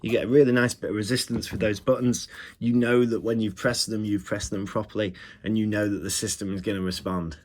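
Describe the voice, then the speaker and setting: A man with a fairly low voice presents a casual, hands-on review. He talks like a host to his viewers, chatty and keen on the little details. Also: level tone, a little fast, darkish tone. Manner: chatty, enthusiastic about details. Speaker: retro electronics review host.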